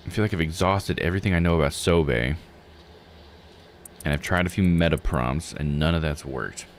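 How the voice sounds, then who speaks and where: A man's voice: extremely low pitch, moderate pace, a little hiss or hum. A man giving casual live game commentary, thinking aloud in a musing, self-questioning way.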